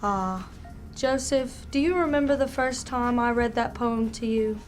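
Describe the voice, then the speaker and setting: A gushing, self-assured spinster hostess of literary bent, played by a woman in a radio comedy. Her voice sits fairly high and she chatters on in a genteel, effusive way. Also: unhurried, soft background music, lightly acted. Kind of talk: radio drama acting.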